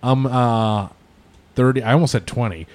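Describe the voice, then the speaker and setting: A man's voice: medium pitch, normal speed, noisy background. A man in his mid-thirties with an American accent, co-hosting a podcast in a casual, comedic chat style. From Quincy, Massachusetts, United States. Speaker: podcast co-host.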